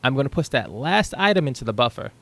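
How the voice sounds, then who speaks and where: A man's voice: mid-range voice, very animated, fast talker, gravelly, bright, forceful tone. A young man casually walking through his code, thinking aloud with the odd um and a questioning "right?", recorded on a headset in a small room at home. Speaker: programming tutor. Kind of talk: casual screen-share code walkthrough.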